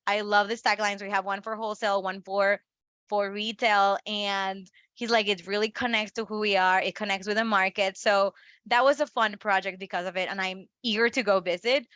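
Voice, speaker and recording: A woman from Mexico, a native Spanish speaker, talking casually as a marketing guest in an interview, animated and laughing often with frequent restarts. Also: mid-range voice, moderate pace, dry recording, highs cut off.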